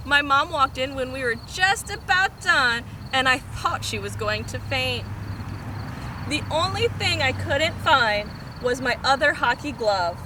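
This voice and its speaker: A woman reads a children's story aloud in a high voice. Her delivery is expressive, with big swings in pitch. It is recorded outdoors on a camera, with clear noise around her. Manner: expressive, animated. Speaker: storytime reader. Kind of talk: children's picture book read-aloud.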